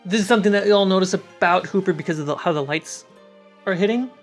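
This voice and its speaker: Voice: mid-range voice, fast. A game master narrating scenes in a tabletop roleplaying game. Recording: background music under the voice. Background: music.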